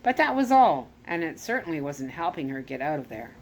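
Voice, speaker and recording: A woman reading a children's chapter book aloud as a storyteller, expressive and animated, now and then correcting herself. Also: low voice, fairly quick, slight background noise. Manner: expressive read-aloud with lively rises on exclamations; occasional self-corrections.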